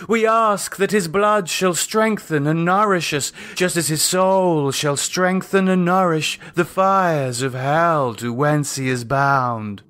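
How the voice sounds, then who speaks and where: A man acts out a commanding high priestess of a vampire cult in a horror audiobook. The voice is pitched well up and declaims each phrase with solemn, exultant fervour, like ritual liturgy. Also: takes it slowly, few pauses, a bit bright.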